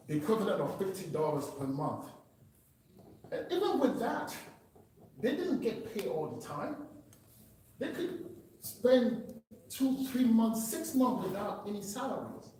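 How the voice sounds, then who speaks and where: A man's voice: sits high, warm tone, a little hiss or hum. A man from Cameroon, giving an earnest, emphatic personal testimony speech at a meeting. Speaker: public speaker. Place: meeting room. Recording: echoey hall, distant mic.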